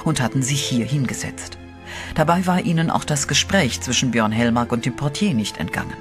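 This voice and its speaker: A man giving scripted third-person narration in an even, storytelling way for a horror radio play. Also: mid-range voice, fairly quick. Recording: music bed under the voice.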